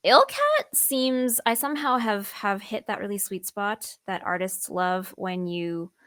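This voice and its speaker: A woman's voice: medium pitch, expressive, unhurried, fairly bright tone, no room sound. A woman being interviewed as a voice actor, chatting casually while playing a game. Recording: game music and sounds behind. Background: video game audio and music.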